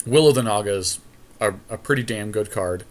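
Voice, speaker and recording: A man's voice: on the low side, a little fast. A man co-hosting a gaming podcast, giving casual commentary on an online card game with a friend and griping dryly with the odd mild swear.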